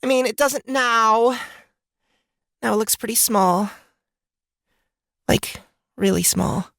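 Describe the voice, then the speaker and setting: High-voiced, irritable young druid woman. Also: takes it slowly, gravelly, fairly bright tone, no echo, studio quality, full character voice. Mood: sheepish. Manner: exasperated, casual muttering and cursing. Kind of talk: fantasy audiobook character acting.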